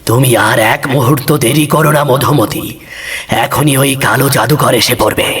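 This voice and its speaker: A man's voice: mid-range voice, normal speed, fairly bright tone, far from the mic, close to an everyday voice. A man voicing a selfless, kindly captive giant in a fairy-tale cartoon, speaking solemnly. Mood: urgent.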